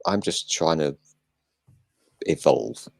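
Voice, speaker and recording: An older man hosting a casual live chat, talking in a flat, self-deprecating way and swearing now and then. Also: a bass voice, slow pace, vocal fry, no echo, clean studio sound.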